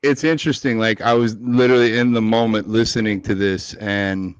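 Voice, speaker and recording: A man hosting a podcast, asking questions in a soft-spoken, hesitant and earnest way. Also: lowish voice, steady tone, fairly quick, clean tone, no room sound, lo-fi sound. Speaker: podcast host. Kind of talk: casual interview questions.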